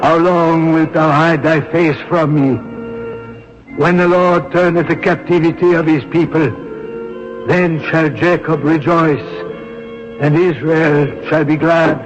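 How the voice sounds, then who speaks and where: An actor playing a solemn, resolute priest with a grave, declamatory delivery in an old-time radio drama. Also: male voice, fairly high pitch, level tone, slow pace, soft background music. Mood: fervent preaching. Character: solemn, resolute French monsignor, devout and defiant. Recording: dull old radio recording.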